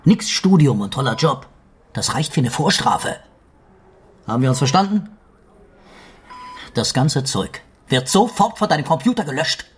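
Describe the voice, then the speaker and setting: Actor as stern detective father, animated delivery. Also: fairly high pitch, normal speed, pauses often, a little hiss or hum, lightly acted. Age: middle-aged. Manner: interrogating, forceful, sarcastic. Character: stern, sceptical police detective father, middle-aged, commanding. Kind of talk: German radio crime drama acting.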